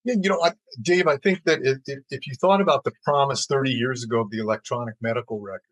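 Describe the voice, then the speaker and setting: An older American man giving measured, explanatory answers as an interview guest, pausing as he forms his thoughts. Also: mid-range voice, fairly bright tone, no echo, poor audio. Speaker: interview guest, healthcare executive.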